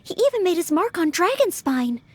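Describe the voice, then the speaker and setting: Earnest, very high-voiced young maid. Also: female voice, sing-song, brisk, no echo. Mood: thoughtful. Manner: thoughtful, careful, courteous. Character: earnest, studious, polite young maid-knight girl. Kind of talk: video game character acting.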